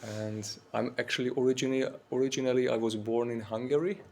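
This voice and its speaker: A man in his forties, a monk from Austria, giving an informal university talk in English with an Austrian German accent. His voice is slightly weak, and he speaks haltingly, searching for words and often saying "like.". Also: medium pitch, a little mellow, a little hiss or hum. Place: university lecture room.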